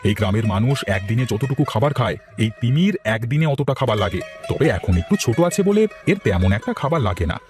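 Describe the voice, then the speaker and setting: A man playing village farmers in a cartoon, speaking in an expressive, exclamatory way. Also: mid-range voice, fast talker, few pauses, music in the background, lightly acted. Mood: concerned. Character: village men: practical farmer husband, frightened neighbours. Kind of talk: cartoon voice acting.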